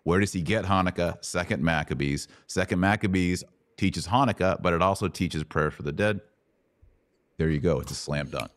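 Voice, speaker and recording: A man's voice: deep voice, moderate pace, dry recording. A middle-aged American man hosting a conversational religious question session, explaining step by step and checking understanding with short questions. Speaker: host answering Bible questions.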